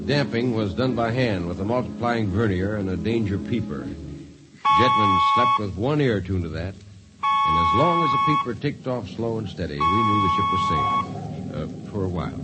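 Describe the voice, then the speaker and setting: Actor as a low-voiced spaceman telling tales. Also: lively intonation, normal speed, lightly acted, rough recording. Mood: reminiscing. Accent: American. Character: plain-spoken spaceman narrator, loyal drinking buddy. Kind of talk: 1950s radio storytelling. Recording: 1950s radio recording, loud music.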